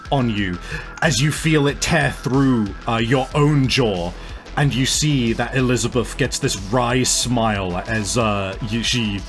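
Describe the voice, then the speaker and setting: A man working as a game master, improvising tabletop game narration in a halting, piece-by-piece way. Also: medium pitch. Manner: halting, pieces scenes together as they go. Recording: music playing behind the voice. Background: music.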